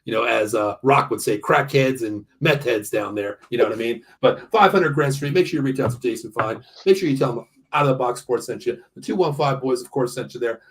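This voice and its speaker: A man's voice: mid-range voice, a little fast, few pauses, breathy edge. An American man in his early fifties hosting a casual sports show, talking bluntly and often repeating himself. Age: early 50s. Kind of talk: casual sports banter with ad reads.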